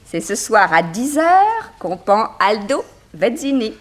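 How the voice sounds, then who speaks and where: A woman's voice: a little high, sing-song, slow pace. An actress playing a vivacious, worldly woman journalist, playful and breezy, in a 1960s French radio drama. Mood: eager.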